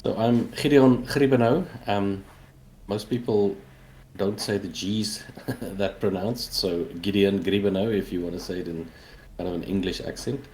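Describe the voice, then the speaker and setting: Male game developer, forties, South African accent, native Afrikaans, low-pitched, conversational, noise behind him. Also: unhurried, darkish tone, poor audio. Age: 40s. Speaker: interview guest, indie game developer. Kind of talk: casual interview answers.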